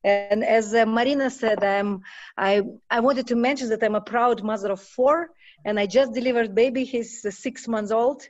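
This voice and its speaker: Animated woman from Kyrgyzstan on a call. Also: on the high side, normal speed. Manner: animated, emphatic, non-native phrasing. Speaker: panelist. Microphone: webcam on video call. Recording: muffled video call audio.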